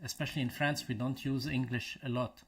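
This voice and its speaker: A man from Lebanon whose first language is Arabic, hosting a casual live stream chat over a video call, pausing and restarting as he looks for the right English words. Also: medium pitch, little pitch movement, husky. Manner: hesitant, restarts while searching for English words. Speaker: channel host.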